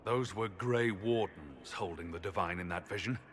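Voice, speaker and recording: Low, blunt adventurer over noisy rumble played by a man. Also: moderate pace, natural voice. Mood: wary, accusing. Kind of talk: video game cutscene acting. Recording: noisy game capture with rumbling ambience.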